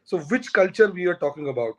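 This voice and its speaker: A man's voice: high-pitched, animated, quick pace, a bit bright, low-quality recording. A man, an Urdu speaker, arguing his points emphatically in a conversational discussion. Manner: emphatic, argumentative, repeats points. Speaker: guest.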